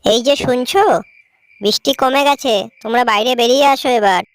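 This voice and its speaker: A woman's voice: fairly high pitch, a little fast, smooth, dry recording, close to an everyday voice. A woman voicing a brave, helpful young village girl in a cartoon story, speaking in an earnest, simple way. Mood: reassuring.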